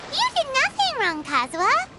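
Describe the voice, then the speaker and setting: A woman voicing a tiny, excitable fairy-like companion with a childlike, exclamatory delivery in a video game. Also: very high voice, normal speed, exaggerated voice. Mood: chatty, earnest. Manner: speaks of self in third person, exclamatory. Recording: loud noise behind the voice.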